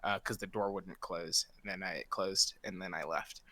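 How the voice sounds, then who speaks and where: Gamer guest, bright tone, run-on explainer. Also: low voice, steady tone, normal speed. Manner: explains alibi in long run-on stretches. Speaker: game stream guest. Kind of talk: casual gaming chat.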